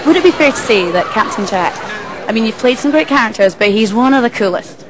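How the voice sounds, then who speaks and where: A woman's voice: fairly high pitch, expressive, fast talker, background noise. A woman interviewing stars at a premiere, chatty and gushing, asking leading questions. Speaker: red carpet interviewer. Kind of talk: red carpet interview. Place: outdoor premiere red carpet with cheering crowd. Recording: loud crowd behind.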